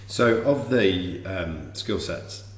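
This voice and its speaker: A man's voice: low-pitched, extremely slow. A man hosting a casual, reflective interview, thinking aloud as he phrases his questions. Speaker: podcast interviewer and host. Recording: echoey room, faint background hum.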